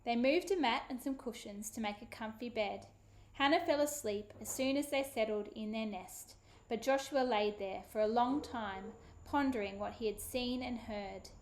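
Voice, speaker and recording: A woman, a children's author, giving an expressive, animated dramatic reading of her book. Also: fairly high pitch, moderate pace. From Australia.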